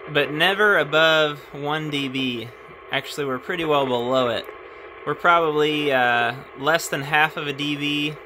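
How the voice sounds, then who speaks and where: A man's voice: fairly high pitch, background noise. A man casually showing off his radio gear at his desk, thinking aloud with frequent "uh"s as he handles the equipment. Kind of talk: casual hands-on demonstration.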